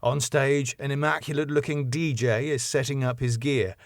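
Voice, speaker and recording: A man narrating the stage directions of a sitcom script in a plain, measured way. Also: medium pitch, steady tone, no echo, clean studio sound.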